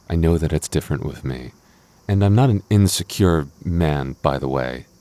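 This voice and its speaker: A man's voice: low-pitched, darkish tone. A man playing a jealous, protective young boyfriend in an intimate audio roleplay, defensive and stammering but loving. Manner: stammering, defensive, teasing asides.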